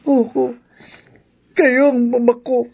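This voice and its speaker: Anguished youth, slow husky voice. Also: female voice, high-pitched, level tone, a little mellow, full character voice. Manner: pained, sorrowful, hesitant. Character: anguished young person learning of own demon blood. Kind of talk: horror radio drama acting.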